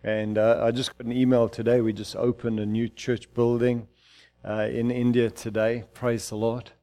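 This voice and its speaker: Fervent, mellow-voiced middle-aged preacher man. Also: mid-range voice, little pitch movement, moderate pace. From Zimbabwe. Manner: fervent, declaiming, with frequent restarts. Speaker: pastor. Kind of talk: sermon. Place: church.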